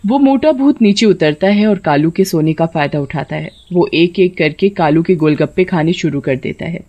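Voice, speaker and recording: Woman doing scripted storytelling, slightly low voice, narrator style. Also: moderate pace, mellow, muffled recording.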